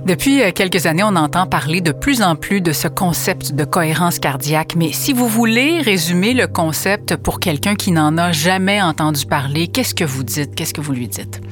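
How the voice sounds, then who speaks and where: A woman hosts a radio interview in a fairly low voice. She asks polite, brief questions. Faint music plays softly behind her. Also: animated, moderate pace, few pauses. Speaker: radio host. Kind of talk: interview hosting.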